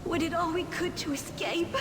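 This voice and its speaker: A woman playing a shaken, weary female soldier in a video game cutscene, speaking haltingly. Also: very high voice, steady tone. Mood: shaken, troubled. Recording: long reverb with clear background noise.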